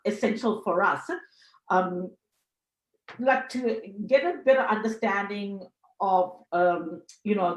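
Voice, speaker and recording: A woman from Sri Lanka moderating a panel discussion, speaking earnestly with many pauses and fillers. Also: medium pitch. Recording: roomy echo.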